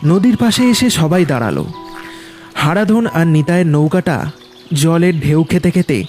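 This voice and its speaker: Male ghost story narrator, slightly high. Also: lively intonation, normal speed, a little mellow, faint music behind.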